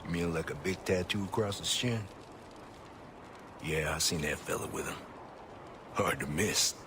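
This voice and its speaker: An actor playing a cowardly, shifty frontier hunter in a video game, with a folksy rural American drawl. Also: male voice, low-pitched, unhurried. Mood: nervous, compliant. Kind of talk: video game character acting. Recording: clearly noisy outdoor game ambience.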